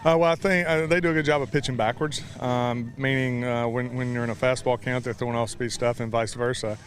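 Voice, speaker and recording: Man, middle-aged, American, mid-pitched, rapid folksy interview talk, baseball coach. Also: steady tone. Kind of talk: informal interview answers.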